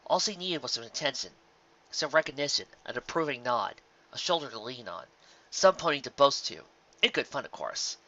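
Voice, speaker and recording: A man reading a fan fiction story aloud as narrator, in an expressive, dramatic scripted style. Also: medium pitch, moderate pace, highs cut off.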